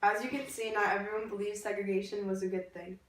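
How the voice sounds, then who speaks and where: Woman, mid-pitched news anchor, scripted delivery, heavy room echo, distant microphone. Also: level tone, quick pace, a little hiss or hum. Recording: very echoey room, far from microphone.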